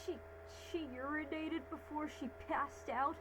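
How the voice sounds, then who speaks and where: High-voiced woman making shocked asides. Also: steady tone, measured pace. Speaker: reaction video host. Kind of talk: casual reaction commentary. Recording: clear background hum.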